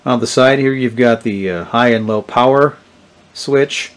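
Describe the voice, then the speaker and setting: A middle-aged man gives a casual, unscripted product demonstration, haltingly thinking aloud as he goes. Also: medium pitch. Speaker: presenter of a vintage electronics channel. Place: indoors, small tabletop product-shooting setup.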